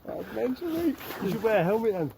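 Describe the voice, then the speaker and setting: A man's voice: high voice, big pitch swings, brisk, creaky, crowd noise. A man in his thirties chatting bluntly outdoors in the snow, swearing as he banters. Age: 30s. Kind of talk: casual chat. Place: outdoors on a snowy road.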